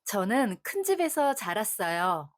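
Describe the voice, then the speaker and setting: A woman hosting an English lesson, reading prompts quickly and repeating English sentences slowly and carefully. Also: mid-range voice, very animated. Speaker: host and English learner. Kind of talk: repeating practice sentences aloud.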